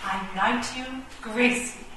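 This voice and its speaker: A woman's voice: on the high side, steady tone, slow pace. A woman playing a defiant, sardonic, bookish chess pawn in a comic radio play, declaiming and correcting wryly. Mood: approving. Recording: echoey room, distant mic, noisy background.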